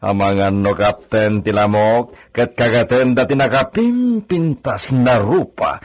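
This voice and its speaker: A man's voice: mid-range voice, animated, a little slow. A man with an Ilocano accent playing a proud, doting wealthy landowner and father in a radio drama.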